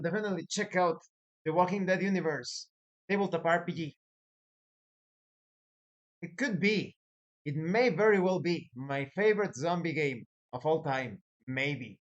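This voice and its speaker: A man hosting a tabletop gaming advice video as a game master, speaking in a deliberate, emphatic way with dramatic lists. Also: fairly high pitch. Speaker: host, professional game master.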